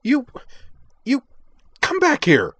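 A man's voice: sits low, very animated, moderate pace, fairly bright tone, dry recording. A man voicing a haughty, hot-tempered young woman who captains a fencing club in a narrated story, imperious and indignant. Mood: furious. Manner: imperious, indignant, clipped and sputtering. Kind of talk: acted dialogue in a narrated story.

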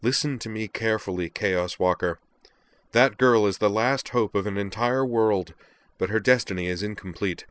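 A man's voice: lowish voice, moderate pace, dry recording, pitched above the performer's own voice, natural voice. Man putting on a pompous, urgent little mage. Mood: urgent, commanding. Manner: declamatory, formal, intense. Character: tiny pompous illusory mage, urgent and commanding. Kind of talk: fantasy audiobook character voice.

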